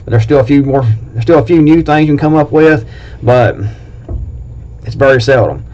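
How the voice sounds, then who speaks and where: A man's voice: medium pitch, moderate pace, a little mellow. A man with an Appalachian accent who hosts a prepping channel, talking casually to camera in a blunt, plain-spoken and rambling way. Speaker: prepping video host. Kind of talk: casual talk to camera, reading a list. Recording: dull lo-fi recording.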